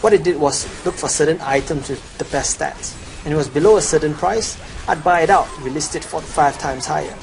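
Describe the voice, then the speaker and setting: A man's voice: a little high, brisk, creaky, faint music behind. A young man with a Chinese accent, boasting through a promotional gameplay voice-over as he lists his gear and stats. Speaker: gamer promoting a gold guide.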